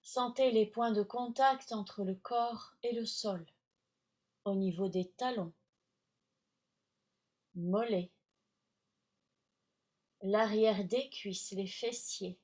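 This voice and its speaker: A woman teaching yoga nidra, guiding relaxation in short, soothing, measured phrases with long pauses. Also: medium pitch, a little mellow. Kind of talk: guided relaxation instruction.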